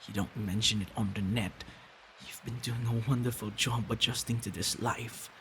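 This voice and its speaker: Guy doing a protective vampire boyfriend asmr thing, hushed n tender, lots of creaky fry, bit of background hiss. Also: lowish voice, fairly even intonation. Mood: remorseful, earnest. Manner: hushed, halting, drifting into breathy whispers and sighs. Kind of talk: intimate ASMR roleplay acting.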